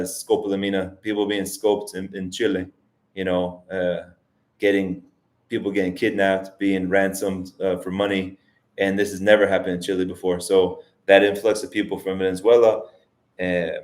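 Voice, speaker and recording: A man's voice: sits low, a little mellow. Rambling man hosting a live Q&A. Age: 40s. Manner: rambling, thinks aloud with frequent restarts. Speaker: livestream host. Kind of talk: casual livestream Q&A. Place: lives in Colombia as an immigrant.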